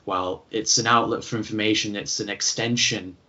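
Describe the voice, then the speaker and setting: Low, flat-voiced male podcast host. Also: moderate pace. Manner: hesitant, many fillers. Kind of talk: podcast interview chat. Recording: dull lo-fi sound.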